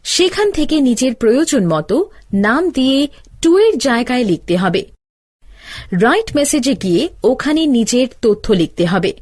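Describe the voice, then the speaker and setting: A woman's voice: on the high side, lively intonation, normal speed, darkish tone. A woman playing an older-sister teacher, giving step-by-step instructions in a scripted cartoon tutorial. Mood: instructive. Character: older-sister teacher explaining gadgets to a child.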